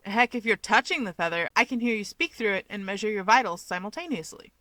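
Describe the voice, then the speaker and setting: A woman's voice: medium pitch, lively intonation, fast talker, fairly bright tone, dry recording, close to an everyday voice. A woman voicing an upbeat, self-assured young male hero with wings, explaining things chattily in an audio story. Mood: eager, explaining. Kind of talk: fan fiction audiobook character dialogue.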